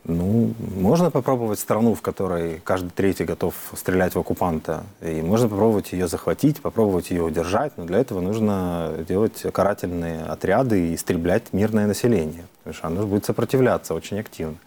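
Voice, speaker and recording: A man's voice: sits low, a little fast, few pauses, dark tone. A man from Ukraine, a member of parliament answering interview questions in an earnest, explanatory way, with frequent hesitations.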